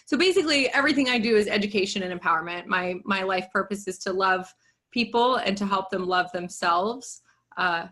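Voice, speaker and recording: A woman's voice: mid-range voice, sharp tone. Chatty, candid woman podcast guest. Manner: candid, chatty, self-deprecating, laughs often. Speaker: yoga business coach and guest. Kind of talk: podcast interview.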